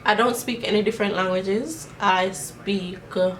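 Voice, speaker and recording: A woman's voice: mid-range voice, steady tone, unhurried, fairly bright tone, far from the mic. A young Jamaican woman chats through a casual question-and-answer vlog, rambling with plenty of fillers. She sits a little back from the camera mic. A faint hiss lies under her voice. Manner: chatty, rambling, many fillers. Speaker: vlogger.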